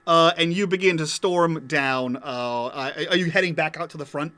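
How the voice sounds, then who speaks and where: A man's voice: on the high side, moderate pace, pushed and bright. A man narrating as game master of a tabletop game, casual with many fillers.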